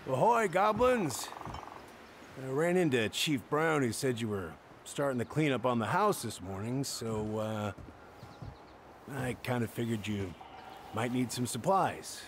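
An actor playing an awkward, kindly middle-aged backwoods handyman in a video game, halting, rambling and folksy. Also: mid-range voice, animated, a little hiss or hum. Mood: friendly. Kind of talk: naturalistic video game acting.

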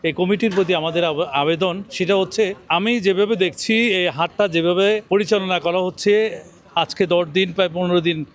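A man's voice: sits high, steady tone, moderate pace. A middle-aged man reporting from an outdoor livestock market into a handheld mic, persuasively addressing viewers. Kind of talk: on-location promotional interview. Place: outdoor livestock market under a bamboo shed.

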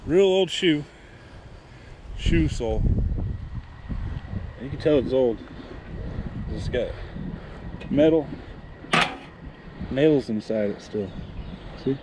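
A man's voice: medium pitch, lively intonation, takes it slowly, pauses often. A man co-hosting a casual outdoor vlog by the water, talking about things in plain, chatty fashion. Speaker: magnet fishing video co-host. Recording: noisy outdoor ambience behind voice.

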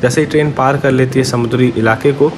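A man's voice: medium pitch, steady tone, fairly quick. A man narrating a train journey vlog in a casual, friendly way, talking to his viewers. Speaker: travel vlogger. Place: on a moving train. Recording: train noise behind the voice. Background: train and wind noise.

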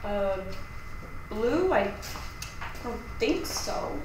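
A woman playing a sheepish roommate in a naturalistic acted scene, with a hesitant, halting delivery. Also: fairly high pitch, lively intonation. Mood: evasive. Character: sheepish female roommate hedging toward awkward confession. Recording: distant echoey room, clear background noise.

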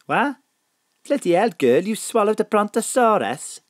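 A woman's voice: medium pitch, big pitch swings, measured pace, no room sound, studio quality. A woman playing a cheery, muddled, affectionate older Welsh mother with a Welsh accent in an audio drama. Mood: jovial.

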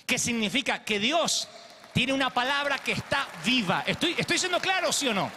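A man's voice: very high-pitched, animated, normal speed, noisy background. A man, preaching a theatrical stage sermon into a handheld mic and acting out the quotes. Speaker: pastor. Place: large glass church auditorium. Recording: long hall reverb.

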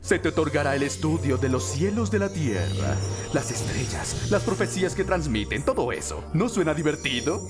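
A man's voice: a little high, big pitch swings, moderate pace. A man playing a flippant, pompous demon king father in a Latin American Spanish cartoon fan dub, theatrical and breezily dismissive. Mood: grandly indifferent. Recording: music behind the voice.

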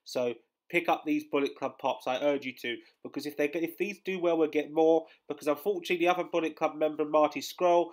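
A man's voice: on the high side, steady tone, quick pace. Congested man rambling cheerfully. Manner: chatty and rambling, many fillers. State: congested, under the weather. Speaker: collectibles channel host. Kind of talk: casual news vlog voice-over.

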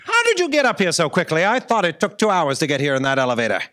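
Man playing animated, high-voiced space detective. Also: exaggerated voice. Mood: calm, deductive. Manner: measured, explanatory, courteous. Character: intrepid male space detective, calm and polite. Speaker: stage actor. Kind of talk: staged live audio drama. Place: theatre stage, indoors. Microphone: stand microphone. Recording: live stage recording with hiss.

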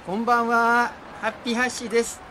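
A woman's voice: a little high, flat delivery, quick pace, bright, forceful tone, a little hiss or hum. A woman acting in a radio drama as a friendly, chatty saxophone player out shopping. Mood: cheerful.

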